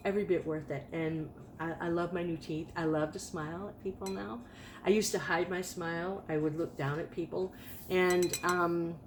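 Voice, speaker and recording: Late-fifties woman vlogger, chatty and rambling, slightly low voice. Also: noisy background. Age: 50s. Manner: chatty, rambling, with frequent restarts. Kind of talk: casual personal testimonial.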